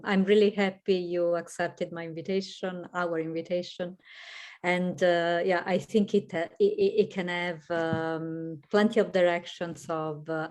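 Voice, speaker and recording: A woman with a strong Italian accent wraps up a seminar as its organizer. Her voice sits on the low side, and she pauses often on fillers as she speaks. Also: a little mellow. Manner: hesitant, with fillers. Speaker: event organizer and moderator. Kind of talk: closing remarks.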